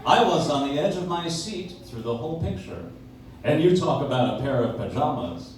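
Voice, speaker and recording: A man's voice: fairly high pitch, very animated, normal speed, a little mellow. An actor playing a polished, enthusiastic 1940s radio announcer, delivering a live commercial in an emphatic salesman's manner. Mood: promotional. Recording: echoey distant audience recording, faint noise.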